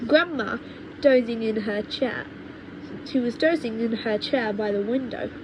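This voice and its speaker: High-voiced woman reading a storybook. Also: muffled recording. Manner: halting, often rereads and corrects words. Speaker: audiobook reader. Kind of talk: children's storybook narration. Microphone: cheap home microphone. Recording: noisy low-quality home microphone.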